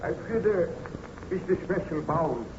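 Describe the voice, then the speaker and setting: A weary, haunted baron, speaks slowly and weakly in a slightly high voice. His delivery is halting, courtly and obsessive, in old radio drama style. Clear noise covers the recording. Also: lively intonation, highs cut off. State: weak, ill-sounding.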